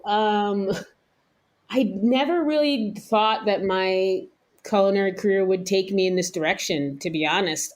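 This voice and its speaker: An American woman chef, around her thirties, talking candidly and thoughtfully in a relaxed interview, laughing now and then. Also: mid-range voice. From United States. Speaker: chef, guest. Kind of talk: casual interview talk.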